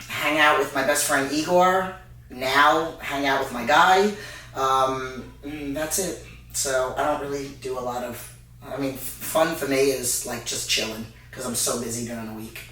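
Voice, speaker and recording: A woman's voice: very deep voice, sharp tone. A woman in her forties co-hosting a casual fitness Q&A, talking loosely with lots of ums. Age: 40s. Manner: unhurried, loose, many filler sounds. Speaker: fitness co-host. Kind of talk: casual Q&A chat. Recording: echoey room, mic far off.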